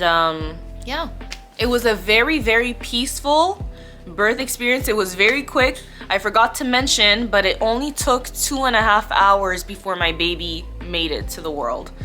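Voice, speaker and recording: A young adult woman speaking as a vlogger in a casual storytime, candid and chatty with expressive emphasis. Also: medium pitch, moderate pace. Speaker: vlogger telling her birth story. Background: background music.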